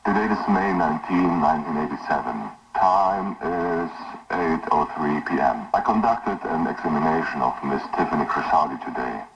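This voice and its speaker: An extremely low-voiced coroner, played by a man, dictates case logs for an analog horror drama. He is formal, weary and halting, heard from a distance on a dull, hissy recording. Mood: uneasy, subdued. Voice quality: very deep voice. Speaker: medical examiner. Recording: muffled noisy old tape, distant mic.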